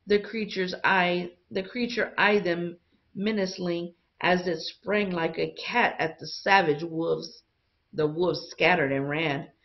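Slow-paced woman reading aloud, muffled recording. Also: on the low side, fairly even intonation. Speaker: teacher reading a picture book aloud. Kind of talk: read-aloud storytelling for young students.

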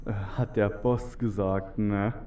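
Dim, greedy henchman surgeon played by a man, mid-pitched, slow and colloquial, a little off-mic, horror audio drama. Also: steady tone, dark tone, distant mic, slight background noise. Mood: hesitant.

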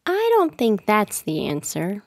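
A woman voicing a gentle, sensible mother bear in a storybook, speaking in a measured, earnest way. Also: mid-range voice, wildly swooping pitch, no echo, studio quality, full character voice. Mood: reasoning, firm. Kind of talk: storybook read-aloud character voice.

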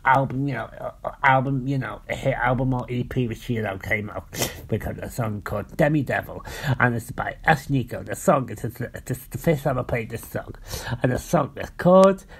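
A man with a very husky, creaky voice presents songs as an amateur DJ at home. His pitch sits in the middle for a man. He rambles haltingly and circles back over his own words. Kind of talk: informal radio-style DJ links. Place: indoors at home.

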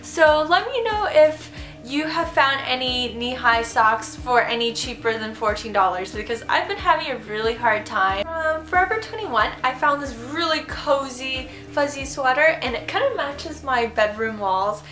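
A woman's voice: high voice, animated, moderate pace. A young woman in her twenties with a cold and a congested voice, chatting bubbly and enthusiastic in a casual fashion haul vlog from her bedroom. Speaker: fashion vlogger. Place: bedroom, indoors. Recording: roomy echo with background music.

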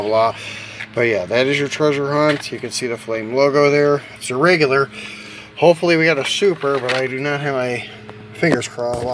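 The American dad you'd hear showing off his collection on a homemade unboxing video, chatting casually at an ordinary male pitch through plenty of background noise. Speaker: toy car collector hosting an unboxing. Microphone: handheld camera or phone mic. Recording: home camera with clear background noise.

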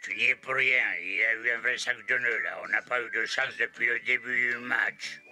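A man playing an excitable, affectionate football coach in a cartoon, exclaiming and repeating himself. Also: mid-range voice, moderate pace. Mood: fatherly. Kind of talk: animated cartoon dubbing.

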